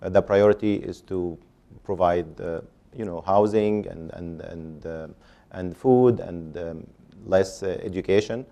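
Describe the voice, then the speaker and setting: A man on a panel answering audience questions, speaking haltingly with many fillers and restarts. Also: low-pitched, vocal fry, warm tone, dull audio.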